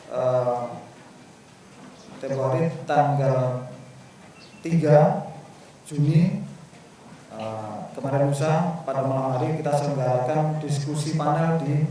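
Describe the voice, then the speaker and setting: A man, a brigade commander, giving a formal anniversary speech at a ceremony, deliberate and pausing often with fillers. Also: a little high, dark tone. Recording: very echoey, distant mic, faint room noise.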